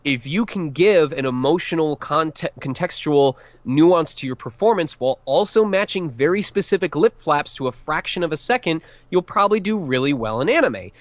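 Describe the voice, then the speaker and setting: A man's voice: a little high, lively intonation, normal speed, few pauses, no room sound, dull audio. A man who works as a voice actor, chatting on a podcast, rambling and self-deprecating as he explains casting. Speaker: voice actor guest. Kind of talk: casual podcast chat.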